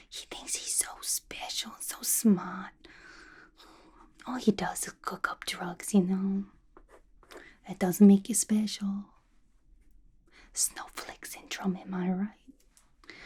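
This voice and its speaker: A woman playing a young, playful, violent-minded female villain in an ASMR roleplay, gossiping to the listener in a chatty, conspiratorial breathy whisper. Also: medium pitch. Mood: conspiratorial, dismissive. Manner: chatty, conspiratorial, giggly, colloquial. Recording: clear background noise, soft clicks.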